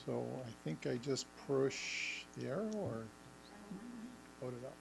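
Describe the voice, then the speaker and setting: A man presenting a facilities update at a school board meeting, halting with many ums and restarts, and losing his voice. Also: medium pitch, animated, gravelly, background noise. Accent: Western New York. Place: school administration meeting room.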